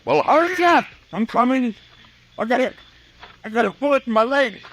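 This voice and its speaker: Shrill, pleading wounded outlaw. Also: male voice, animated, normal speed, a bit bright. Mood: desperate. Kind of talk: 1950s western radio acting. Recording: distant, noisy old radio recording.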